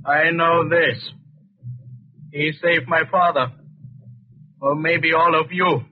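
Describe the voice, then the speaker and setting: Fierce native son, clipped broken English, high husky voice, old radio drama, tinny recording. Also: male voice, flat delivery. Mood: menacing. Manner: halting broken English in short clipped phrases. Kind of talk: 1940s radio acting.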